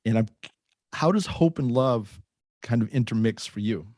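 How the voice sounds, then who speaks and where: A man's voice: mid-range voice, expressive, normal speed, a little mellow, no echo, studio quality. A man hosting a podcast interview, friendly and often stumbling over his words. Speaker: podcast host. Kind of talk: casual interview.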